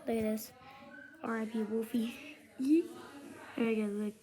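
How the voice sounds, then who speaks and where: A child with a high voice talking casually and ramblingly to viewers as a vlogger. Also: fairly even intonation, slow.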